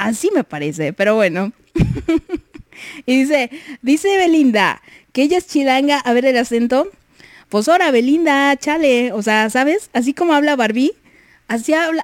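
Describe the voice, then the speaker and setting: A woman's voice: fairly high pitch, dry recording. A young Mexican woman hosting a livestream, chatting casually, reading messages and giggling often. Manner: giggly, chatty, many fillers. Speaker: livestream host.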